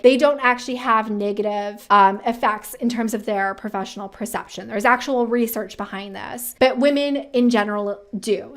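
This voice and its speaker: A woman with a somewhat high-pitched voice hosts a career advice video. She speaks in a conversational, emphatic way. She often frames her commentary as rhetorical questions. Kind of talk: casual commentary reacting to viewer-submitted quotes.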